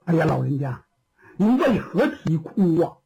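A storyteller voicing a young male warrior who asks quick, bewildered questions. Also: mid-range voice, sing-song, vocal fry, warm tone, muffled recording, voice pushed down. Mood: polite.

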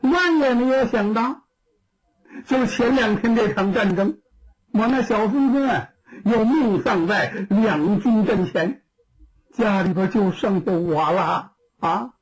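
A storyteller playing an elderly grieving woman, wailing, slow tearful lines, pitch sweeping up and down. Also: lively intonation, husky, rough recording. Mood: tearful, pleading. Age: older. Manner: wailing, drawn-out crying speech.

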